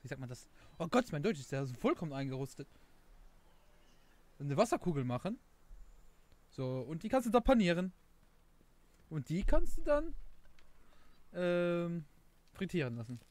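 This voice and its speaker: A young man with a Luxembourgish accent rambles through a casual game stream, often searching for the right word. His voice sits a little high and swings widely in pitch. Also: big pitch swings, normal speed, pauses often, darkish tone. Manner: rambling, laughing, self-mocking, searches for words. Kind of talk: casual gameplay stream chat.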